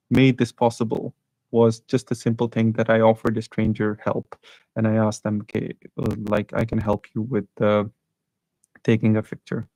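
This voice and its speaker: A man's voice: on the low side, mellow, dry recording, poor audio. Man casually telling travel stories. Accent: neutral international English, worked on. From South Asia. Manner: rambling, many restarts and fillers. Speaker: channel host. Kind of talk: casual live stream storytelling.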